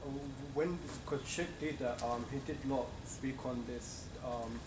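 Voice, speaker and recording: A man's voice: mid-range voice, a little slow. Man asking questions from across a room. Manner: inquisitive, with brief affirmations. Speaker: interviewer. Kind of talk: interview questions. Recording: echoey room from a distant mic with noise.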